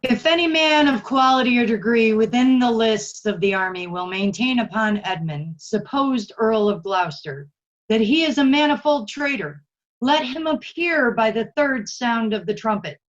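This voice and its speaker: Muffled, lo-fi audio of an army herald, played by a woman. The fairly high voice makes a formal Shakespearean proclamation. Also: expressive, normal speed, lightly acted. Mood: official, proclaiming. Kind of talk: Shakespearean reader's theatre. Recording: dull, lo-fi sound.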